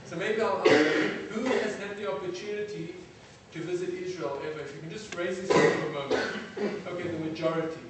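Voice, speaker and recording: Halting man lecturing in an echoey hall. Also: sits high, fairly even intonation, over a noisy crowd. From South Africa. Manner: halting, many fillers and restarts. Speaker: defense attaché giving a lecture. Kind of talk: lecture to an audience. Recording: very echoey hall, from far off, faint noise.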